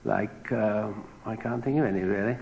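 A man's voice: lowish voice, moderate pace, gravelly. A man in unscripted radio talk, hesitant and fumbling for his words, on a muffled recording. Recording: dull, faintly noisy radio recording.

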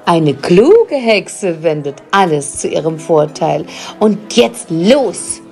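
An actress playing a bossy, scheming old witch grandmother in an audio drama, husky and commanding. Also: fairly low pitch, sing-song, a little mellow, faint music behind, heavily acted. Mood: irritated, scheming. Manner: commanding, drawn-out, conniving. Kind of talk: German audio drama acting.

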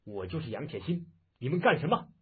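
A man voicing a brave, stern young swordsman with a firm, steady, calm delivery, heard in lo-fi phone-like audio. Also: mid-range voice, fairly quick, slightly rough. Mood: defiant.

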